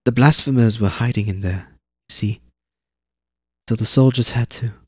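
A man's voice: mid-range voice, moderate pace, husky, no room sound, clean studio sound, voice pushed up, close to an everyday voice. A man voicing an eleven-year-old girl laborer, plucky and matter-of-fact. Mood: eager. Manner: bright, eager, talkative.

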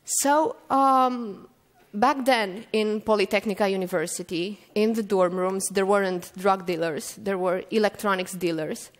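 A woman's voice: medium pitch, fairly even intonation, unhurried. A woman in her thirties giving a keynote at a lectern, telling stories at an easy pace with frequent ums and restarts. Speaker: keynote speaker. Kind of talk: conference talk. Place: conference stage, indoors. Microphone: stand mic at lectern. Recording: reverberant hall.

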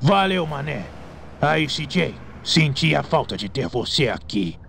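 A man plays a fat, gluttonous Brazilian gangster in a crime game dub. His voice is gravelly and fairly high, with a jovial, slangy drawl. Clear noise and engine sounds sit behind him. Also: sing-song, a little slow, heavily acted. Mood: soothing. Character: laid-back fat gangster friend, gluttonous, jovial, smooth excuse-maker. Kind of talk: Portuguese fan dub of a crime video game. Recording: noisy game audio with vehicle sounds.

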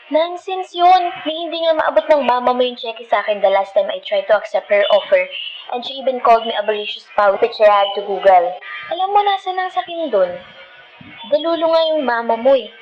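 A woman's voice: fairly high pitch, dull audio. A woman with a Filipino accent playing a feisty, dramatic but loving girlfriend in an ASMR roleplay, sassy and mixing two languages as she talks. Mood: indignant. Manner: sassy, scolding, switching between Tagalog and English.

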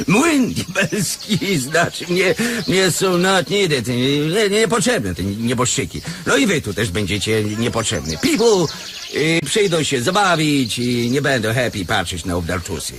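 Brash male returnee from America, Americanised Polish, slow and halting, very animated, faint noise behind. Radio comedy. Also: mid-range voice. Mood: dismissive, blunt. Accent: Americanised Polish, peppered with English. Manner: halting, searching for words, blunt. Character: brash Polish émigré back from America, property claimant. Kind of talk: radio comedy play acting.

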